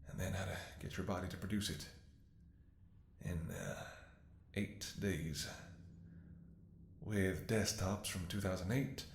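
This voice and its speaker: A man playing a devoted, anxious doctor in an intimate audio roleplay, speaking to his sick lover in a tender, emotional, halting way. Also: fairly low pitch, gravelly. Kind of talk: intimate scripted ASMR roleplay acting. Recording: echoey room.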